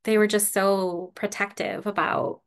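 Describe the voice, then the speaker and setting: A woman meditation teacher giving a relaxed, casual dharma talk over a video call, laughing often and using lots of fillers. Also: medium pitch, a little slow. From Canada.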